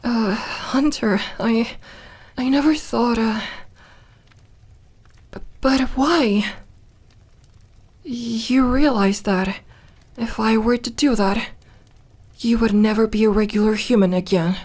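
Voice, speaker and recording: A woman's voice: a little high, darkish tone. A woman playing a lonely, lovestruck vampire girl in an intimate ASMR audio roleplay, tender and hesitant. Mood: stunned, hesitant. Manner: hesitant, halting, intimate, sometimes dropping to a whisper.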